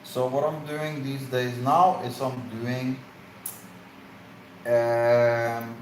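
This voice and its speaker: Male stream co-host, casual and halting, echoey room. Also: medium pitch, level tone. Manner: halting, searching for words with fillers. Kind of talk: casual Q&A chat. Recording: echoey room, faint noise.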